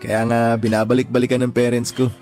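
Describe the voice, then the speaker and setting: An actor playing an attentive, easygoing young Filipino boyfriend in a radio drama. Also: male voice, mid-range voice, little pitch movement, a little fast, darkish tone, soft background music, lightly acted. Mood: reassuring.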